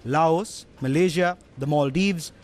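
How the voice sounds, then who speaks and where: A man anchoring a TV news bulletin, reading a script in a steady formal manner. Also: fairly high pitch, takes it slowly. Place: TV news studio.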